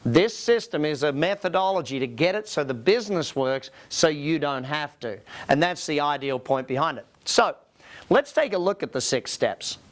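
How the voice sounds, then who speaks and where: A man's voice: fairly high pitch, animated, normal speed. A man with an Australian accent speaking as a business coach to an audience in an emphatic, motivational seminar style, putting rhetorical questions to listeners. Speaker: business coach and company founder, presenting.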